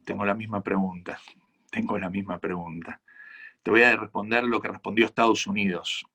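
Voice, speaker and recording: An Argentine-accented rabbi answers audience questions in an earnest, measured way. His low voice carries a husky edge. He is a man speaking at a conference. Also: fairly even intonation, sharp tone. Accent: Argentine Spanish. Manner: earnest, measured, reflective explanations. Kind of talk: conference Q&A talk.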